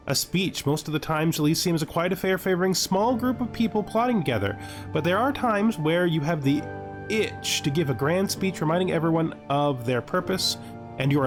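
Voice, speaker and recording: A man with a slightly high voice hosts a casual let's play, with faint music underneath. He thinks out loud about his choices and slips between his own commentary and reading game text aloud. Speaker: gaming video host, player narrating a playthrough.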